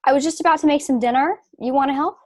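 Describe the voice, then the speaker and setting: A woman's voice: high-pitched, racing, lightly acted. A woman playing an unsuspecting, friendly young wife in a table reading of a stage play. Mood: pleased, friendly.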